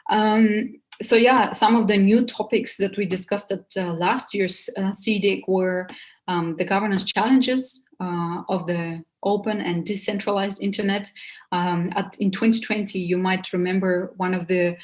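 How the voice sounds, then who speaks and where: A woman giving an informal seminar presentation, speaking in a halting, hesitant way with many pauses. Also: mid-range voice, steady tone. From Bulgaria.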